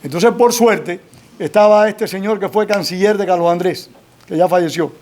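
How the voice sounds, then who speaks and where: A man with a Cuban accent speaks in a notably high voice. He fields audience questions at a book launch, telling stories at a measured, firm pace. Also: darkish tone. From Cuba. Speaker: author presenting his book.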